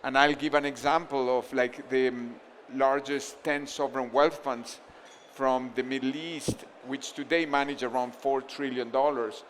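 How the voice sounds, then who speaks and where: A man's voice: mid-range voice, steady tone, measured pace, a bit bright, a little hiss or hum. A man from Argentina speaking on a conference panel, thinking aloud with frequent restarts and fillers.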